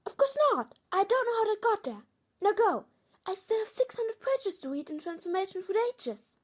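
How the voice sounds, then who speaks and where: A woman acts as a studious teenage witch girl in an audio play. Her voice sits extremely high. She is brisk and a little bossy, like a keen schoolgirl. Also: very animated. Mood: flustered.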